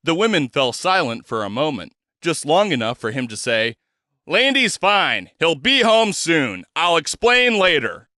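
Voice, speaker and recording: A man's voice: on the high side, very animated, a little slow, sharp tone, no echo, studio quality, voice pushed up, full character voice. Man doing a blunt exasperated small-town sheriff.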